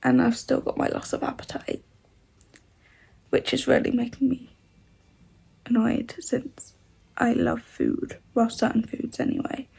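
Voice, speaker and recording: A twenty-year-old woman from the UK chatting in a rambling vlog, her voice rough from a cold. The tone is gravelly and husky, low and mellow, and it sits on a fairly flat pitch. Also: unhurried, long pauses, low-quality recording. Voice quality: rough, odd-sounding voice. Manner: rambling, many "like" and "and that" fillers. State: congested with a cold. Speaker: vlogger. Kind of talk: casual vlog chat.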